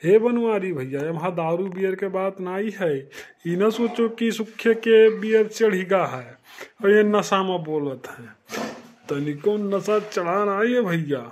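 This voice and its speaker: A man's voice: high voice, a little slow, a little mellow, a little hiss or hum. A man voicing a sulky, cocky drunken villager who fancies himself a don, swaggering defiantly in a comic cartoon with an Awadhi accent. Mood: grandiose.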